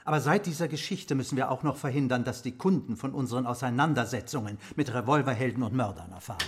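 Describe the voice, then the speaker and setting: An actor playing a formal, courteous and discreet art dealer in a radio play. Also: male voice, medium pitch, fast talker, breathy edge, natural voice. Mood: anxious, formal. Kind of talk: German radio play acting.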